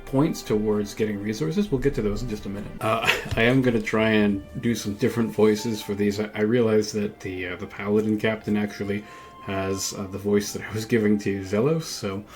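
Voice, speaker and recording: A man's voice: lowish voice, fairly even intonation, fairly quick, darkish tone, soft background music. A man giving casual gameplay commentary as host of a gaming video. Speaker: gameplay video host who voices characters.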